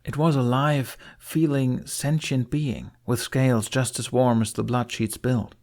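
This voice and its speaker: A man's voice: mid-range voice, fairly even intonation, unhurried, a little mellow. A man reading scripted fiction as an audiobook narrator.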